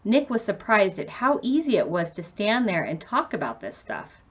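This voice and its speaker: A woman's voice: medium pitch, big pitch swings, normal speed, fairly bright tone, slightly rough, highs cut off. A woman narrating a children's story in a scripted read-aloud style.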